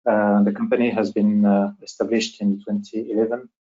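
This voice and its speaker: Halting French-accented man, low voice. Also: flat delivery, dark tone. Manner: halting, hesitant delivery with repeated words. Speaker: webinar moderator and company executive. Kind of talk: business webinar presentation. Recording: dull, lo-fi webinar audio.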